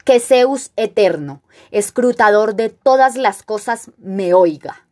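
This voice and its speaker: Woman doing a proud stern king in an old greek tragedy, real slow n solemn, declaiming every line. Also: mid-range voice, expressive, a little mellow. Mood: resolute. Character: stern, proud male king addressing elders. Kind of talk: classical tragedy monologue, recited.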